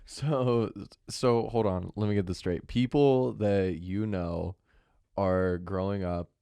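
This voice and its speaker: Curious man with a low, smooth voice. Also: fairly even intonation, dark tone, no echo. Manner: curious, short quick questions and reactions. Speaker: podcast host. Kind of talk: casual interview chat.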